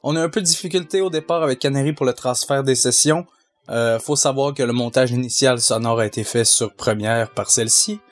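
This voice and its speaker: A young man with a Québécois French accent, a sound mixer answering fan questions, measured and hesitant with many fillers. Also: medium pitch, faint music behind. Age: young adult. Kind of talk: casual Q&A answers.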